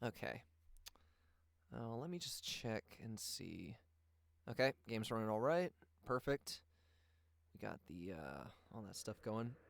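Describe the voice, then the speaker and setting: A man's voice: on the low side, sing-song, unhurried, vocal fry, long pauses. A man chatting casually to his viewers during a live gameplay stream, rambling and trailing off with many ums as he plays. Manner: rambling, lots of ums and restarts. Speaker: livestream gamer.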